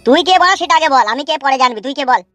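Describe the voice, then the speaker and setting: A woman plays a cheeky village son-in-law, a grumbling comedy cartoon rascal. The pitch is on the high side and the coarse, slangy lines come extremely fast. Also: fairly bright tone, no room sound, soft background music. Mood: irritated.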